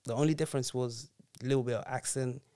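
A man's voice: medium pitch, fairly even intonation, fast talker, dark tone. A man from Zambia, chatting casually with slangy, laid-back speech and frequent laughter. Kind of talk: casual chat.

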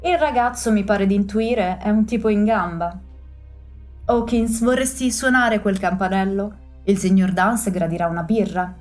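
Brief: a woman playing a blustering, boastful gentleman, loud and raised in pitch, faint music under. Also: normal speed, natural voice. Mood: hearty. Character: blustering hot-tempered gentleman, a man. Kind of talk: audiobook character voice.

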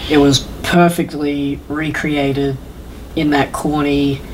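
A man's voice: a little high, flat delivery, slow pace, a little hiss or hum, low-quality recording. A man co-hosting a podcast in casual conversation, laid-back and slangy but enthusiastic about what he describes. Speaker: podcast co-host.

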